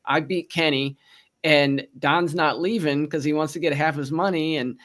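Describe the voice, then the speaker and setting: A man's voice: fairly high pitch, fairly even intonation, moderate pace, fairly bright tone. A man in his forties with a Baltimore accent, co-hosting a casual livestream and telling stories with frequent laughs. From Baltimore, Maryland. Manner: chatty storyteller, laughs often. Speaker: co-host.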